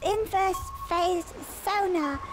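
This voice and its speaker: A woman's voice: very high voice, over music. A woman voicing a sweet, hesitant little girl in a video game cutscene. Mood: innocent. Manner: slow, hesitant, babyish. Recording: game audio with background noise.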